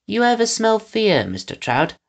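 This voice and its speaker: Man putting on a high-voiced, dim, chatty henchman. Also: huge pitch swings, normal speed, vocal fry, full character voice. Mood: cheerfully oblivious. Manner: folksy, ungrammatical, oblivious chatter. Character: dim, cheerful henchman prone to rambling.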